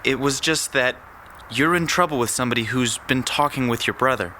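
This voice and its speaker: Actor as a sharp-voiced, cowed young thug. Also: male voice, medium pitch, a little fast, a little hiss or hum, close to an everyday voice. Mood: nervous, defensive. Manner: pleading, evasive. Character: young male petty thug, defensive, cowed, self-excusing. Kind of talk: audio drama acting.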